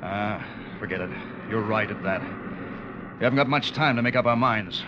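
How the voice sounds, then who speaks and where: An actor playing a grave, weary ship's officer in a vintage radio adventure drama, with dramatic, deliberate delivery. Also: male voice, lowish voice, background noise, natural voice. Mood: urgent command. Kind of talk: 1940s-50s radio adventure drama acting. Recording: dull vintage radio recording, music behind.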